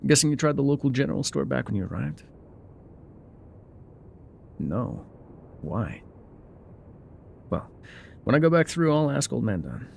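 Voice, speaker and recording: Male actor as haunted, laconic frontier guide for immersive ASMR drama; gravelly, calm and folksy with expressive swings, slight rumble behind. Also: full character voice. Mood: curious, thoughtful. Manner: unhurried, musing, folksy, trailing pauses. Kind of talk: immersive ASMR roleplay monologue.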